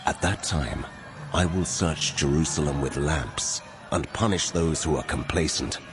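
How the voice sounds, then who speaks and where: An actor voicing a wrathful God pronouncing judgment in solemn, commanding declamation for a dramatized scripture reading. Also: male voice, extremely low pitch, unhurried, music in the background.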